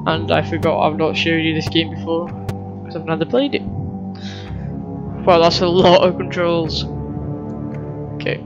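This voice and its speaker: A young-sounding or adult gamer of mid-pitched voice talks casually through gameplay. The commentary rambles and drops into muttered asides, with game music clearly playing behind. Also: little pitch movement, moderate pace, pauses often, lo-fi sound. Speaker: gamer, video host.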